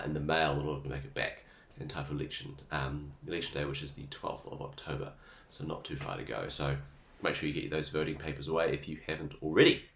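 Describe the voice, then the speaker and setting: A man with a New Zealand accent, hoarse and raspy while recovering from illness, giving a casual, chatty campaign update as a council candidate with many fillers. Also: extremely low pitch. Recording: roomy echo.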